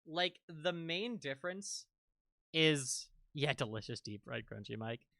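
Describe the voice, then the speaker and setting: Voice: medium pitch, expressive, measured pace, creaky, pushed and bright, dry recording, studio quality. A streamer and voice actor chatting casually and rambling with lots of fillers, a little congested. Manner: rambling, filler-heavy, self-interrupting. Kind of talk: casual let's-read commentary.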